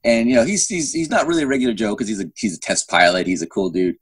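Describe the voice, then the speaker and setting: A man's voice: lowish voice, quick pace, slightly rough, dry recording. A middle-aged man chatting casually as an interview guest, rambling and often restarting his sentences mid-thought. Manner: rambling, self-interrupting with repeated false starts. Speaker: guest novelist. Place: indoor room at home. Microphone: phone at arm's length on a video call.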